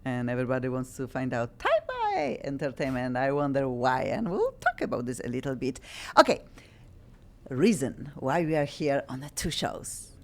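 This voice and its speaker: A woman hosts a talk radio show in English, her voice sitting low. She talks in a halting way and often stops to restart or search for a word. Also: huge pitch swings, darkish tone. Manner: halting non-native English with many restarts.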